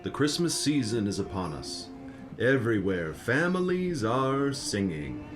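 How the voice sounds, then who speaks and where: A man delivers dramatic storybook narration for a radio play. He takes it slowly, with a mid-range voice that rises and falls expressively. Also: medium pitch, very animated, music in the background.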